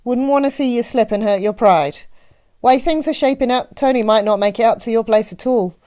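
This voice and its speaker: A woman's voice: on the high side, fairly quick, warm tone, no room sound, studio quality, pitched above the performer's own voice, lightly acted. A woman voicing a matronly, teasing small-town postmistress with a Midwestern accent and a chatty, folksy manner in an audiobook. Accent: Midwestern American. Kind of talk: audiobook character voice.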